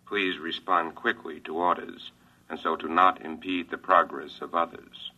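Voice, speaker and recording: A man's voice: low voice, little pitch movement, a little slow, bright, forceful tone, lo-fi sound, heavily acted. A man playing a droning, impersonal robot that gives clipped formal orders in an old radio drama. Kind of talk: 1950s radio drama acting. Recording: noisy vintage radio recording.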